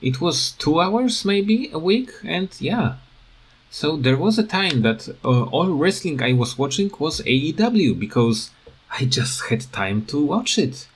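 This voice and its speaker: Slow, halting man in a casual rant. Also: a little high, very animated, slightly rough. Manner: halting, hesitant, repeats words. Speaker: video creator giving commentary.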